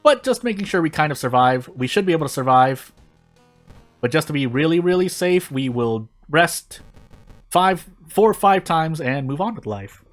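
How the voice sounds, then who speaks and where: A man's voice: fairly high pitch, lively intonation, moderate pace, soft background music. A man hosting a gaming channel, giving casual, rambling gameplay commentary with self-deprecating asides.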